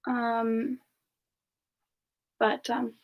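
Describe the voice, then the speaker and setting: A woman playing a playful, gentle female demon character, soft-spoken and giggly in a casual semi-ASMR live chat. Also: on the high side, monotone, drawn-out words, lo-fi sound. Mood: playful, relaxed. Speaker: streamer answering chat and taking song requests.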